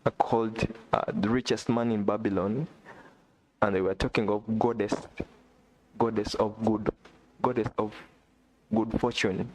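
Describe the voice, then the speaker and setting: A man from Uganda, not a native English speaker, chatting excitedly on a live stream, repeating himself and talking straight to a viewer. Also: lowish voice, a little slow, long pauses, slight background noise, poor audio. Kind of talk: casual live-stream chat. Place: indoor room.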